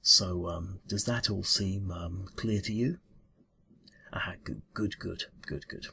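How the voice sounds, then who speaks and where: Casting: male actor as a polite, flustered bureau-cat interviewer for ASMR roleplay. Deep yet bright-edged voice, halting officious mumble. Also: gravelly, husky, full character voice. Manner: hesitant, mumbling, halting, formal.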